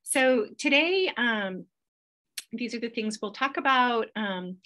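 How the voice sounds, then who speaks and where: A middle-aged American woman with a slightly gravelly voice, a professor giving an informal welcome presentation to an online audience. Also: on the high side, lively intonation, slow pace, pushed and bright. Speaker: professor hosting a welcome webinar. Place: online webinar.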